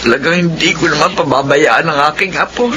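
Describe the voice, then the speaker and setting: A woman's voice: deep voice, fairly quick, natural voice. An actress playing a caring, worried older Filipino mother and grandmother, tender and concerned, in a radio drama. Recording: clearly noisy ambience behind voice.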